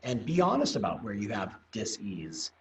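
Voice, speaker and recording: A middle-aged man hosting a podcast, chatting in a relaxed way with playful asides. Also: on the low side, normal speed. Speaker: podcast host. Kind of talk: casual interview talk. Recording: distant mic, faint background noise.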